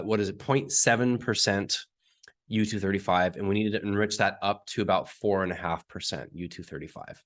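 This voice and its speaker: A man with a hoarse, raspy voice from being sick, explaining technical detail step by step as an interview guest and often clearing his throat. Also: lowish voice. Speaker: uranium market analyst guest.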